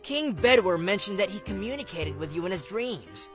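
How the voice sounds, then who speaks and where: An actor voicing a young, small dragon messenger in a cartoon, earnest and politely formal. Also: male voice, sits high, sing-song, moderate pace, faint music behind.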